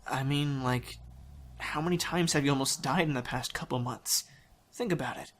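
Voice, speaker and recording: A woman voices a blunt young man who has often come close to death. The voice is low, casual and earnest, acted for a fan-made audio drama over light noisy ambience. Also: moderate pace. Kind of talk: amateur audio drama, anime fan parody.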